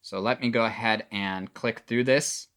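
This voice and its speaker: A man's voice: on the low side, steady tone, measured pace, a bit bright, smooth. A man giving a casual, plain-spoken product review, explaining features as he demonstrates them.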